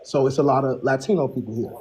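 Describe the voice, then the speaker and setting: Earnest guy on a call-in, kinda husky, talks in circles. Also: mid-range voice, quick pace, darkish tone. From Chicago, USA. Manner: earnest, rambling, restarts often. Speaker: caller. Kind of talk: casual call-in talk.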